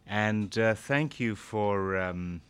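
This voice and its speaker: A middle-aged man and former foreign correspondent speaking to a gathering, halting with fillers at first, then growing more animated. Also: lowish voice, clear, resonant tone. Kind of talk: speech to an audience.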